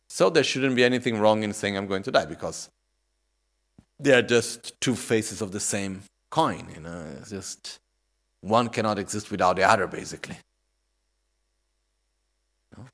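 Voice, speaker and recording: A man in his thirties giving a Buddhist dharma talk in non-native English, reflective and often checking in with "okay?". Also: lowish voice. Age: 30s. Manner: reflective, repeats questions to the audience with "okay?" and "no?". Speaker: Buddhist teacher.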